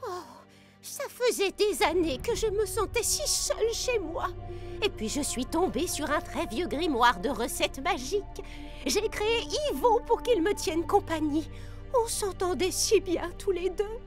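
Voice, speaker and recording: A woman's voice: very high-pitched, lively intonation, unhurried. A woman voicing a lonely, anxious, fretful mother in a French cartoon. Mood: sad, wistful. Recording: music clearly behind the voice.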